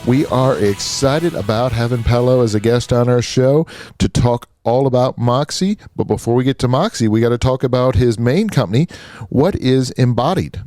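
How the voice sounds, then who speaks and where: Male radio interviewer, medium pitch, close dry sound with some music underneath. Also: moderate pace, dark tone, no room sound. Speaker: radio show host.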